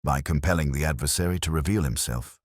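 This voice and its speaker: A man's voice: very deep voice, fast talker, breathy edge, no echo, clean studio sound. A man voicing a pompous, brooding older detective who speaks in formal, clipped, coldly precise sentences in an audiobook story. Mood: brooding, decisive. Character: pompous, imperious older male genius detective, terse and brooding.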